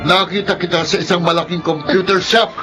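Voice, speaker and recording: A man plays a dutiful young Filipino elder brother in a radio drama. His somewhat high voice is stern and scolding, with music clearly playing under it. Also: steady tone, a little fast, lightly acted. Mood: angry, accusing.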